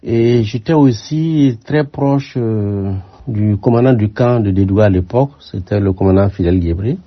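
An older man from Burkina Faso, a former military aide, answering interview questions in a measured, reflective way with frequent hesitations. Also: lowish voice. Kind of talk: radio interview. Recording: muffled lo-fi sound.

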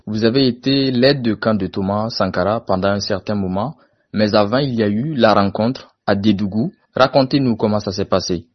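A man's voice: lowish voice, moderate pace. A man conducting a radio interview, asking direct, formal questions. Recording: muffled lo-fi sound.

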